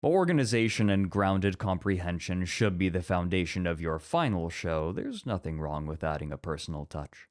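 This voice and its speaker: A man playing a calm, introspective college teacher, speaking in a measured, formal way in an ASMR roleplay. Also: low-pitched. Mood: sincere. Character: composed, introspective, scholarly male college teacher.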